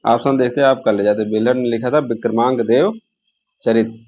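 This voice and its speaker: A man's voice: mid-range voice, fairly even intonation, fairly quick, a little mellow, lo-fi sound. A man teaching an exam lesson, posing questions, answering them himself and repeating key terms.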